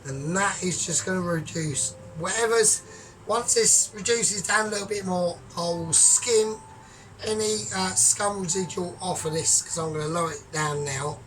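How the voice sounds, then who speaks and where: A man talking through his cooking in a rambling, grandiose, sweary way, often trailing off quiet. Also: sits high, moderate pace, slight background noise. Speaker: home cook vlogger. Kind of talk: casual cooking vlog. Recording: distant mic with music behind.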